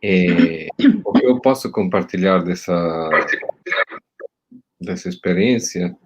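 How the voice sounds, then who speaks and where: A middle-aged Italian man talks through a video call, his Italian accent clear. His voice sits low and a little husky. He speaks haltingly, often pausing on fillers and restarting his sentences. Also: darkish tone. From Italy. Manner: hesitant, many fillers and restarts. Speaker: guest professor. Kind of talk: academic online talk.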